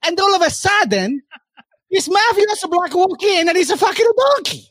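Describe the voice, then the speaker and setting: A man putting on a loud Italian character with a comic accent and broken English, who tells a story about his cousin. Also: very high-pitched, sing-song, moderate pace, dry recording, studio quality, heavily acted. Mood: storytelling. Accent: put-on Italian.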